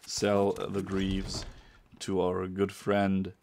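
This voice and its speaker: A man with a German accent, a non-native English speaker, giving chatty let's-play commentary and sometimes pausing to search for a word. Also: low-pitched, monotone, a little mellow, slight background noise. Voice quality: gravelly. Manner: chatty, pauses to search for words. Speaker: gameplay streamer.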